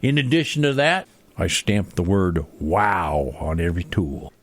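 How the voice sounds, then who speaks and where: An actor playing a gruff, bragging Old West handyman with a blustering manner in a comedy radio play. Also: sits low, very animated, measured pace, no echo, heavily acted. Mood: bragging, grumbling. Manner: blustering, boastful.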